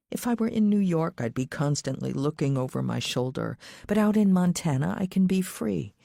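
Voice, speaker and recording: A low-pitched woman narrates a thriller audiobook in the first person. The sound is dry, with very little room around her scripted reading. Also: gravelly, dark tone, no echo.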